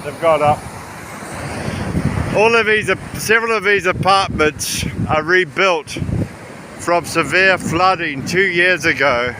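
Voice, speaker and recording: A man's voice: a little high, slow pace, pauses often. Chatty man vlogging in wind noise. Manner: rambling, chatty. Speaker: travel vlogger. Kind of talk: casual walking commentary. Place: outdoors walking along a beach. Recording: windy outdoor recording with surf noise. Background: wind, waves, outdoor ambience.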